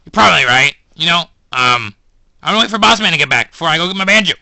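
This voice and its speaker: A man's voice: on the high side, expressive, quick pace, vocal fry, sharp tone, dry recording, heavily acted, poor audio. A man voicing a patient male animatronic banjo player with a dry, mildly exasperated manner. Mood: easygoing.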